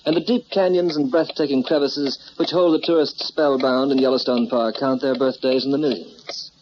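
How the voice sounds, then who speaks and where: Portentous 1940s radio storyteller, male actor, medium-pitched and measured, dull vintage sound. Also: fairly even intonation, natural voice. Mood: solemn. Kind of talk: 1940s radio scripted narration. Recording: muffled, lightly noisy old radio recording.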